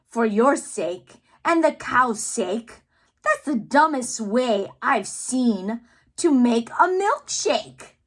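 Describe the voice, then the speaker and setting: A woman reading poems aloud for children in a theatrical way, drawing out words and pausing between phrases. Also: fairly high pitch, fairly bright tone. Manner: theatrical, drawn-out words with pauses. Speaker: read-aloud host, children's reader. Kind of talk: expressive poetry read-aloud for children.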